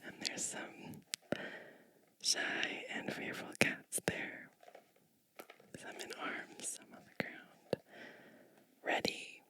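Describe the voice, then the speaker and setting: A woman whispering gently and breathily close to the microphone, reading a storybook aloud with chatty asides in an ASMR style. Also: poor audio. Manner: slow, gentle, hushed delivery with chatty asides.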